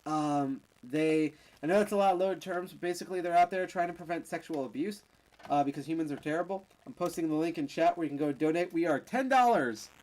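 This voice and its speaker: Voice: mid-range voice, fairly quick, darkish tone, poor audio. A game streamer chatting casually and a little tipsy while playing, rambling and joking as they go. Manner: rambling, jokey, trails off and restarts. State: slightly tipsy.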